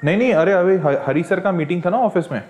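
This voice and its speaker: A man's voice: sits high, expressive, fast, a little mellow. Playful man over background music. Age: 30s. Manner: playful, teasing. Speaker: online teacher. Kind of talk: casual prank phone call chat. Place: indoor teaching studio.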